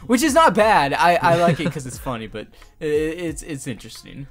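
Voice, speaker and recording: A man's voice: on the high side, sing-song, moderate pace, a bit bright, faint music behind. A young man chatting casually on a podcast in a laughing, informal manner with some swearing. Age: young adult. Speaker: podcast co-host and hobby voice actor.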